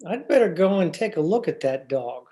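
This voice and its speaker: A man's voice: high voice, sing-song, moderate pace, dark tone. A man playing an earnest, worried husband, hesitant and exasperated, in old-time radio comedy style. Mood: suspicious.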